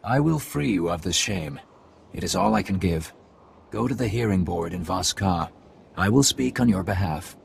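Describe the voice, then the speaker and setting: An actor playing a solemn alien mystic and husband, speaking in a formal, grave, measured way in a game cutscene. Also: male voice, sits low, animated, creaky, slight background noise, full character voice. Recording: game cutscene with loud score. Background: orchestral music.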